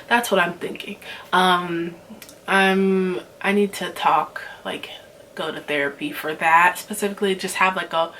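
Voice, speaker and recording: A woman's voice: lowish voice, gravelly, fairly bright tone, slight background noise, rough recording. A woman in her twenties from Nigeria, ranting casually to camera with lots of fillers and restarts. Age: 20s. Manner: rambling, many fillers and restarts. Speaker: vlogger.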